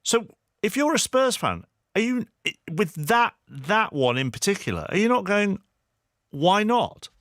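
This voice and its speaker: Animated male football show host. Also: sits high, unhurried, no room sound, studio quality. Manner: probing, questioning. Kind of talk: lively football discussion.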